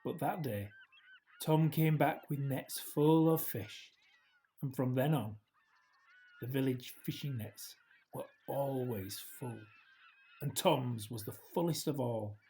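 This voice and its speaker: A man narrates a children's picture book at a slow, unhurried pace. His voice is mellow and medium-pitched. He reads gently and expressively, sinking into a hush for dramatic effect. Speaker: storybook reader. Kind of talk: scripted children's story narration, read aloud.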